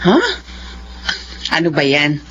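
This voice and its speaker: A woman's voice: low-pitched, steady tone, takes it slowly, a bit bright. A Filipino woman playing an anxious, shaken middle-aged mother in a radio drama, with a dramatic delivery. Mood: shocked. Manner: dramatic, distressed. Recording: muffled radio drama, faint noise.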